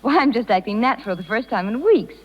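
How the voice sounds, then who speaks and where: An actress playing a young circus rider, anguished and stubborn, in 1930s radio drama style on a dull old recording. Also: female voice, a little high, animated, fast talker. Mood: evasive, strained.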